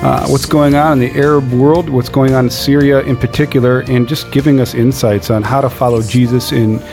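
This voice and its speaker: A man's voice: mid-range voice, steady tone, fairly quick, darkish tone. A man hosting a podcast, introducing a guest in an easygoing way with occasional fillers. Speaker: podcast host. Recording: studio with faint music.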